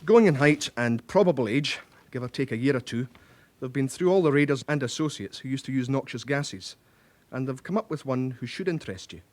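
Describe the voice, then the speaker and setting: An actor plays a British male police collator in a radio drama. His voice is of average pitch. He lays out details methodically and sounds pleased with his records. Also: animated, lightly acted. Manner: measured, explanatory.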